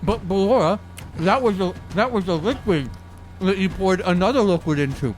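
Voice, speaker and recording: A man's voice: high-pitched, lively intonation, normal speed, faint music behind, rough recording. A man playing a tabletop game on a podcast, slipping between casual banter and role-play.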